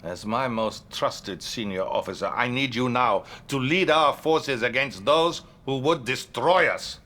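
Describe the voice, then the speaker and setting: Slow, forceful Soviet premier, Russian accent. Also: male voice, mid-range voice. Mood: flattering, solemn. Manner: slow, pompous oratory. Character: grandiose Soviet premier, commanding and smoothly flattering. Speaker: video game character actor. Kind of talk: dramatic game cutscene acting.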